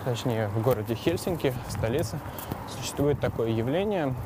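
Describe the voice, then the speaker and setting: A man talks while he cycles on a city street, stopping often to hesitate and ramble. His voice sits in a middle pitch, and loud traffic noise runs behind him the whole time. It sounds like a portable recorder carried outdoors. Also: expressive, a little fast. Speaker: podcast host. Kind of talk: casual monologue while cycling outdoors.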